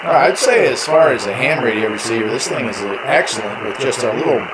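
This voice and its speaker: A man's voice: medium pitch, expressive, brisk. A man casually talking through a hands-on radio demonstration, step by step. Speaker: radio reviewer. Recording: clear radio static behind the voice. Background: shortwave radio noise.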